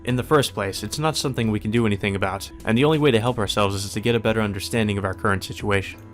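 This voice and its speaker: A man's voice: fairly low pitch, rapid-fire. A man reading a visual novel aloud as a let's player, mixing plain narration with casual, wry asides. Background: music.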